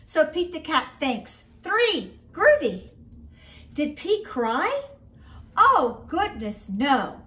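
A middle-aged woman reads a children's picture book aloud. Her voice is high and bright, and it swings up and down a great deal. She is expressive and deliberate, shaping each phrase clearly for young listeners. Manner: expressive, slow and deliberate for children, with sing-song refrains. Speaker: school principal, guest story reader. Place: small home room, indoors.

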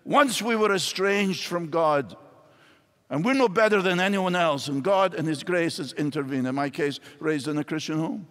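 A man's voice: a little high, moderate pace, a bit bright. An older man with a Scottish accent, preaching a sermon from a church stage with wide gestures. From Scotland. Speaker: pastor. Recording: hall reverb in a large church.

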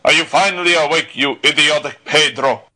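Man acting swaggering bully, bright forceful voice. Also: on the high side, normal speed. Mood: bullying, commanding. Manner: imperious, insulting, barking orders. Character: blustering, swaggering bully of a nobleman's henchman. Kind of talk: dramatised audiobook acting.

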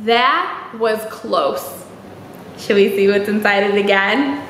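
A woman's voice: medium pitch, slow pace, fairly bright tone. A young woman hosting a children's storytime, chatting and reading aloud in an exaggerated, encouraging way with questions to the listener. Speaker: library storytime host. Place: small indoor room. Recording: echoey room with faint background noise.

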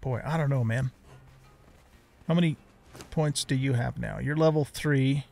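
A man's voice: medium pitch, a little slow, darkish tone, soft background music. A middle-aged or older man giving casual let's play commentary, rambling as he thinks aloud. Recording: clear background noise.

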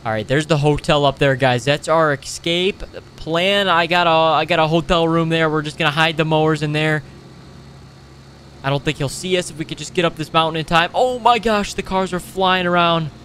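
A young man doing casual, excitable roleplay commentary over a video game, animated and slangy with frequent exclamations. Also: on the high side, normal speed, a little hiss or hum. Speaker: gaming video host.

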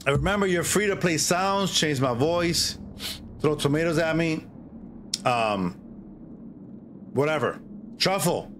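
A middle-aged man hosting a livestream, chatting casually and reading a horror story in a drawn-out, theatrical way with pauses. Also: on the high side, expressive, a bit bright, slight background noise. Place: indoor streaming setup. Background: music.

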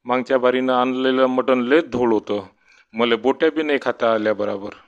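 A man's voice: mid-range voice, steady tone, moderate pace, lightly acted. A man voicing a fussy, complaining village father-in-law in a comedy cartoon, with a Varhadi Marathi accent. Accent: Varhadi Marathi from Vidarbha. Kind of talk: comedy cartoon dialogue in rural dialect. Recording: dull, muffled sound.

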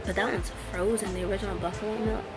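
A woman's voice: medium pitch, fairly even intonation, quick pace, over music. A woman in her late twenties chatting casually and jokingly with friends in a vlog. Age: late 20s. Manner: playful, joking. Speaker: vlogger. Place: canvas beach cabana, open air. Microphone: handheld vlogging camera.

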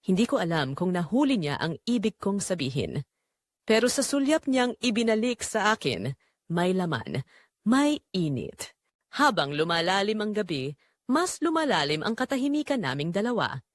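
A woman's voice: medium pitch, sing-song, moderate pace, no echo, studio quality, lightly acted. A woman narrating a romance audio drama as a yearning married mother confessing forbidden attraction. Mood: longing, tense.

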